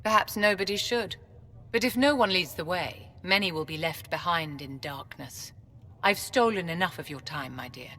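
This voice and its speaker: Game court mage, low forceful female voice, elegant, imperious, poised. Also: normal speed. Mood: grave, persuasive. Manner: formal, poised, condescending endearments. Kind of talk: video game dialogue.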